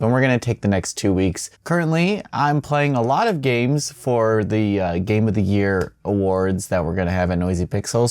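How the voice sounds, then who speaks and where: A man with a mid-pitched voice hosts a casual video game news roundup. He reads out the news informally and adds offhand personal opinions as he goes. The sound is dry, with very little room echo. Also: darkish tone. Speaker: host of a gaming news show.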